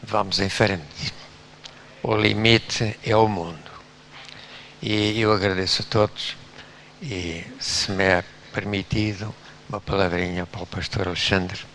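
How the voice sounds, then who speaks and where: A man addresses a congregation slowly and urges them on. His voice is low but bright and forceful. A faint hum runs under the recording. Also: steady tone. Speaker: pastor. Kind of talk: church address.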